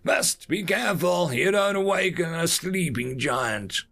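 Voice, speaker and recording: Feisty, folksy veteran in his nineties, played by a male narrator; raised pitch, gravelly creak, taunting storybook delivery. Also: animated, a little slow, bright, forceful tone, faint music behind, heavily acted. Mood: defiant, warning. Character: defiant, folksy 95-year-old male war veteran.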